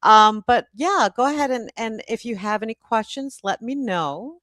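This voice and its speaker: A woman's voice: on the high side, animated, moderate pace, a bit bright, no echo. Woman hosting, dry close sound. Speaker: host of live book event. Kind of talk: casual live-stream hosting.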